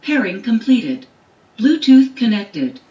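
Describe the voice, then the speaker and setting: A woman's voice: on the high side, sing-song, slow pace. A synthetic woman's voice giving short automated announcements as a device's voice prompt, played back through the device. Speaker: voice prompt of a car radio. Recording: muffled device playback over music.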